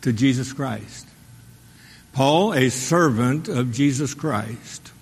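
Husky preacher in a reverberant sanctuary. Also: male voice, medium pitch, expressive, slow pace. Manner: call-and-response preaching, rhetorical questions. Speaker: pastor. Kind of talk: sermon. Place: church sanctuary. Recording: long hall reverb.

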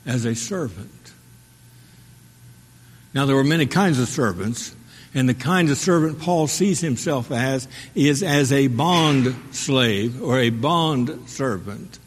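A man preaching a sermon as pastor, asking the congregation rhetorical questions and pausing for their response. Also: medium pitch, expressive, breathy edge. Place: church sanctuary. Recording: long hall reverb.

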